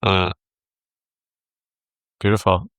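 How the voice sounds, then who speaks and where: A man's voice: low voice, fairly even intonation, drawn-out words, a bit bright, no room sound, studio quality. A man hosting a podcast interview, affirming his guest and asking long, rambling questions full of fillers. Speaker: podcast host. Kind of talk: interview conversation.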